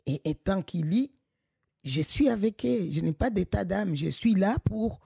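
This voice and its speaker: A middle-aged woman from Congo, director of a local association, speaking in an animated, emphatic way with frequent restarts. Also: mid-range voice, measured pace, vocal fry, husky, no room sound, studio quality. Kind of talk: conversational podcast interview.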